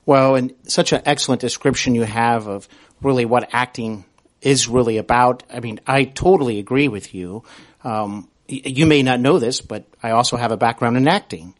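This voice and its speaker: A man's voice: mid-range voice, normal speed. A man hosting a conversational interview, speaking in an affirming, wordy way with hesitant phrasing. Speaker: podcast host and interviewer.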